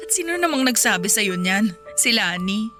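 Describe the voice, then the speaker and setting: A woman's voice: medium pitch, sing-song, slow pace, bright, forceful tone, soft background music, heavily acted. A Filipino woman playing a good-natured, modest widow in a radio drama, conversational and lightly bantering.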